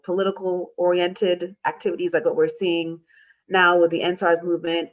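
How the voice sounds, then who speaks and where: American female panelist, thirties, lowish voice, explanatory delivery, slightly muffled online-call sound. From United States. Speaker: panelist, community organization founder. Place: room indoors, video call. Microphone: computer mic on a video call. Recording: slightly dull video-call audio.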